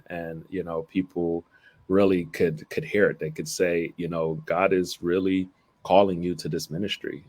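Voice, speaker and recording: Deep-voiced earnest priest telling his story. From Philadelphia, family from Barbados. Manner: earnest, storytelling with little laughs. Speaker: Episcopal priest, rector. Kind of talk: conversational interview answers. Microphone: video call.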